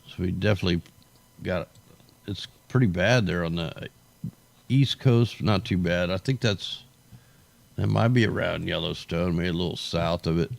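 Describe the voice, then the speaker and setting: A man with a low, creaky voice hosts a casual livestream. He sounds tired, with his voice worn out. His commentary is off the cuff, rambling and full of slang. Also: normal speed, long pauses. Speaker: livestream host.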